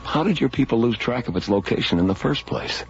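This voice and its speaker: A man's voice: medium pitch, lively intonation, fairly quick, slight background noise, close to an everyday voice. A man playing a plain-spoken, skeptical space scientist in a radio drama, asking blunt questions. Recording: dull radio recording.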